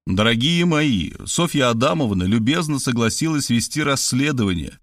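A man's voice: mid-range voice, lively intonation, normal speed, dry recording, studio quality, close to an everyday voice. A man voicing a flustered, easily indignant man from a novel, anxious and self-justifying. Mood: pleading.

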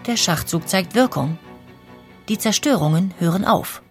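A woman's voice: on the low side, lively intonation, unhurried, soft background music. A woman narrating a historical audio documentary from a script in a factual, articulate manner.

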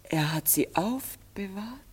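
Lively, coquettish woman, swooping intonation. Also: mid-range voice, slow, husky. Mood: curious. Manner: coquettish, theatrical. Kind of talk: classic German radio play acting.

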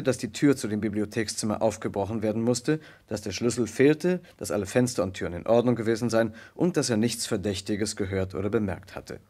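An actor playing an earnest young American lawyer who narrates a murder case in a measured, precise way in a vintage German radio play. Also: male voice, lowish voice, a little mellow, natural voice.

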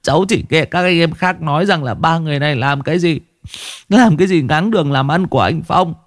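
A sniveling young thug begs in a high, thin, tearful voice, as a male storyteller puts him on. He whimpers and stumbles over his words. The sound is dry and close. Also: fairly quick, no room sound, natural voice. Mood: whimpering plea. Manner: tearful pleading, stammering.

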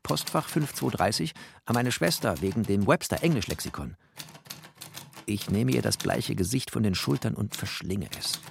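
A man's voice: lowish voice, creaky, a little hiss or hum. An actor playing a bookish, wry, tender poor writer who narrates his life in clipped, poetic phrases in a radio play. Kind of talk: literary first-person radio play narration.

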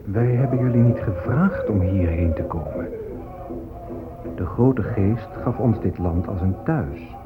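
Proud low-voiced Native chief. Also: male voice, expressive, measured pace. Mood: firm indignation. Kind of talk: solemn radio drama oration. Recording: muffled phone-like old radio recording. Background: music.

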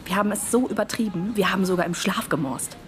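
A woman's voice: mid-range voice, fast, slight background noise, close to an everyday voice. An actress playing an earnest, polite young woman in the wartime resistance, chatty and eager, in a naturalistic radio drama. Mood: happy, eager.

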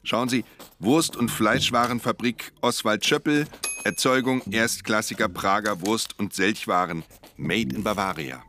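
A harried official in a radio play. His voice is fairly low, brusque and exasperated, quick to get defensive, with faint noise behind. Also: bright, forceful tone. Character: harried, irritable male government office clerk. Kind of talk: German radio play acting.

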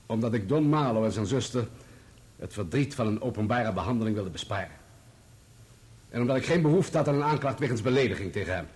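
Husky, self-righteous journalist witness. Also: male voice, lowish voice, lively intonation, a little mellow. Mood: assured. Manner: formal, measured, assured. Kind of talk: radio play courtroom acting.

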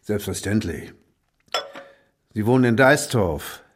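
A man's voice: on the low side, expressive, takes it slowly, a little hiss or hum. A man playing an unruffled, cryptic older village barkeeper in naturalistic German audio drama dialogue, teasing and evasive.

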